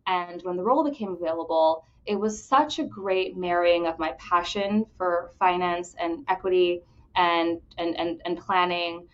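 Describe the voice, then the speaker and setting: A woman's voice: fairly low pitch, fairly even intonation. Enthusiastic woman from the Bahamas, lo-fi. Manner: enthusiastic, fast talker with repeated restarts. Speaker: credit union executive, podcast guest. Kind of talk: conversational interview answers. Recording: dull lo-fi audio.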